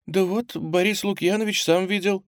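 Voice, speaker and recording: A man's voice: high voice, fairly even intonation, moderate pace, no echo, clean studio sound, voice pushed up, natural voice. A man voicing an anxious, kindly old lady, a nervous headmistress in an audiobook. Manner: nervous, agitated. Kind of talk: audiobook character acting.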